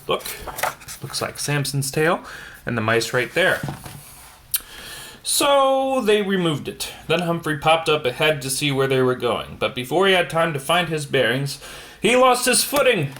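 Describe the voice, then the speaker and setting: A man's voice: fairly high pitch, very animated, unhurried, fairly bright tone. A man reading a children's picture book aloud, stumbling now and then and adding casual comments on the pictures. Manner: stumbles over words and explains the pictures as he goes. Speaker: bedtime story reader.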